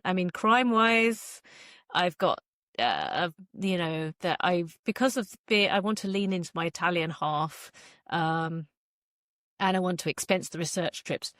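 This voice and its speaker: A woman's voice: on the low side, expressive, measured pace, a bit bright, dry recording, clean studio sound. A woman chatting casually as an interview guest, with a wry, self-deprecating sense of humour. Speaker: guest author.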